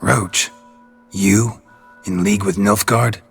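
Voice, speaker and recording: An actor playing a gruff, weary, laconic monster hunter in a game, with a gravelly, terse delivery. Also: male voice, lowish voice, slow, soft background music, full character voice. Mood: questioning. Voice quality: gravelly, husky rasp. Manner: terse, flat, skeptical. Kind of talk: cinematic game voice acting.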